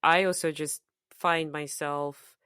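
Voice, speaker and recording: A woman from Zambia whose accent is softened by years in the US and UK, co-hosting a podcast in casual, reflective chat with frequent fillers and restarts. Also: low-pitched, steady tone, takes it slowly, clean tone, dry recording, clean studio sound. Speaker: podcast co-host.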